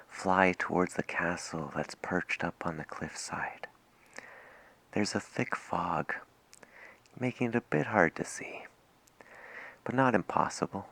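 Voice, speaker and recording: A man speaking softly and intimately as a late-night radio DJ in an unhurried, soothing ASMR style. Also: deep voice, creaky. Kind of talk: soft-spoken ASMR radio DJ roleplay.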